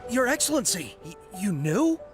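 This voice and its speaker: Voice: mid-range voice, very animated, moderate pace, lightly acted. An actor playing an earnest, zealous soldier devoted to a leader in a video game, deferential and fervent. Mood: startled. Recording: faint background music.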